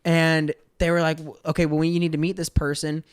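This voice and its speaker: A man's voice: on the high side, monotone, fairly quick, clean tone, no room sound, clean studio sound. A man in his twenties with an American accent, telling stories conversationally and earnestly as a podcast guest. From Georgia, USA. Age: 20s. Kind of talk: casual conversational storytelling.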